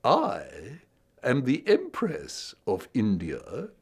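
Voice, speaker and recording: A man's voice: mid-range voice, huge pitch swings, extremely slow, creaky, slightly rough, exaggerated voice. A man voicing a theatrical young boy who puts on a grand royal voice in a storybook reading. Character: theatrical young boy doing a Queen Victoria voice.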